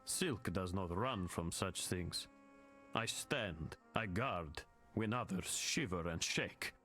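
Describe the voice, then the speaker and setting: A stoic game guard, proud and sure of himself. The low voice comes in short, slow phrases over faint music. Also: male voice, animated, sharp tone, heavily acted. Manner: clipped, self-assured. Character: stoic, proud male guard of few words. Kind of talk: video game character acting.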